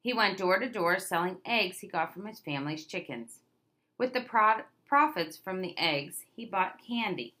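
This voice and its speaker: Bright-voiced woman reading a children's book. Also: mid-range voice, normal speed. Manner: expressive storytelling with emphatic stresses and questions to listeners. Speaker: read-aloud presenter. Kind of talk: children's picture book read aloud.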